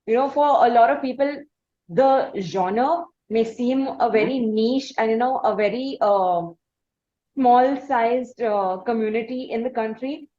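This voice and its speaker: Slightly dull, lo-fi audio carries a woman with an Indian accent and a fairly high voice. She interviews in a conversational way. Her questions come haltingly, winding their way around before they land. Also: mellow. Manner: halting, roundabout phrasing.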